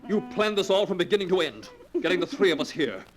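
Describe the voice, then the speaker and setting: Smooth, callous schemer with swooping delivery. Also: male voice, high voice, big pitch swings, quick pace, soft background music. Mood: greedy, outraged. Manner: feigned politeness, theatrical. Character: scheming, smooth-talking murderous grandson, callous and greedy. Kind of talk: 1940s radio acting.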